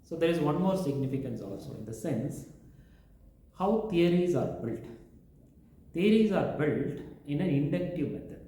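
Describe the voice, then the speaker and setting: Male professor, lecture-style, mellow tone, very reverberant room. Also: a little high, animated, normal speed. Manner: lecturing, listing points one by one. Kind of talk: explaining teaching methods in an interview. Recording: very echoey room.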